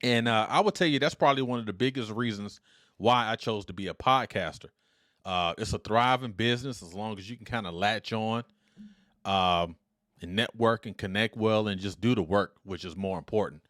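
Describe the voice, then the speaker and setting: Loud, confident middle-aged man hosting. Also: fairly low pitch, dry recording, studio quality. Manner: loud, confident, upbeat and deliberate. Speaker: podcast host. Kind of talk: solo podcast monologue. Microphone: dynamic studio mic.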